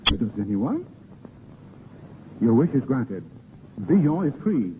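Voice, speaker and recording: An actor playing an ardent, gallant vagabond poet posing as a lord, with theatrical, hesitant pauses, in a 1930s radio drama. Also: male voice, medium pitch, very animated, a little hiss or hum. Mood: ardent, wooing. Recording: muffled 1930s radio broadcast recording.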